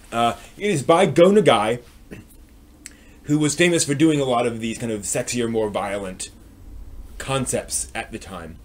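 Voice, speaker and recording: A man giving a casual, unscripted review as a live stream host, explaining things with wry asides. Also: medium pitch.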